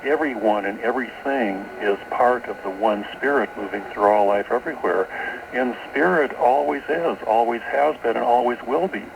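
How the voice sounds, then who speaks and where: Through clear shortwave noise comes a man with a creaky, fry-laden voice at an average pitch. He speaks as an interview guest explaining esoteric beliefs, doubling back on words in a halting way. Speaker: guest author. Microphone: radio broadcast, taped off air. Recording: noisy shortwave radio broadcast on cassette.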